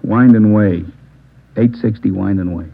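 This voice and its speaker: A man's voice: fairly low pitch, measured pace, gravelly, slight background noise. A man acting a captured drug smuggler who bargains for protection, sullen and wary. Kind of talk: 1940s radio acting. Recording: tinny old radio recording.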